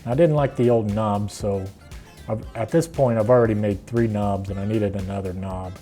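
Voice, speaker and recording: A man's voice: lowish voice, steady tone, moderate pace, dark tone, soft background music. A middle-aged man with an American accent, casually explaining a do-it-yourself project in a plainspoken, unscripted way. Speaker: woodworking video host.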